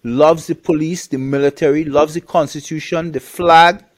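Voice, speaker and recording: A man's voice: a little high, fairly even intonation, moderate pace. A man from Trinidad, a guest on the show, talks bluntly in a casual interview, with many restarts and fillers.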